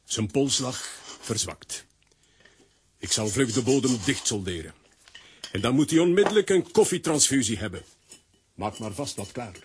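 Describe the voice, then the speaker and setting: A man's voice: medium pitch, lively intonation, normal speed, pauses often, noisy background, lightly acted. A man playing a bossy, earnest makeshift surgeon in a children's audio drama, giving clipped commands and muttering as he works. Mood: grave, busy.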